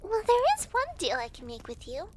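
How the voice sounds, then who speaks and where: Woman as a very high-pitched, breezy devil girl. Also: big pitch swings, very noisy. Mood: breezy, teasing. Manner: giggly, breezy, teasing, halting when flustered. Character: chatty young devil girl, hell's intake clerk. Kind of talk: ASMR roleplay, talking to the listener.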